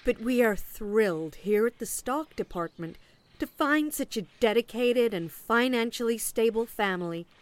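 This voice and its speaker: A woman's voice: a little high, lively intonation, moderate pace, lightly acted. A woman playing a relentlessly upbeat, over-polite government agent in an audio play. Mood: bright, officious. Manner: over-polite, saleswoman-like cheer.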